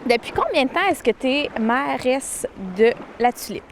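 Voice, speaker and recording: A woman's voice: sits high, expressive, normal speed, slight background noise. A woman hosting a local TV show, asking friendly, chatty interview questions on first-name terms. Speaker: TV host, interviewer.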